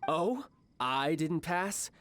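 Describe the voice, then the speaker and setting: A man's voice: on the high side, expressive, drawn-out words, a bit bright, full character voice. An actor playing a suave, self-assured young male fighter in a video game, theatrical and playfully menacing. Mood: playfully confident.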